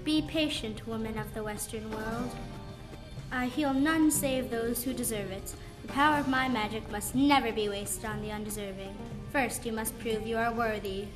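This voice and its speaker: Stern ancient female sage acted by a teenage girl, fairly high voice, portentous and formal, music under her. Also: steady tone, normal speed, natural voice. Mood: stern, commanding. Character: stern, wise old woman mystic master. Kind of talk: school radio play acting.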